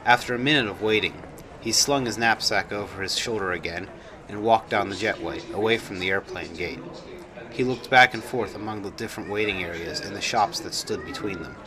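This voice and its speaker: A man's voice: lowish voice, fairly quick, a crowd in the background. A man reading his own story aloud as a scripted narration.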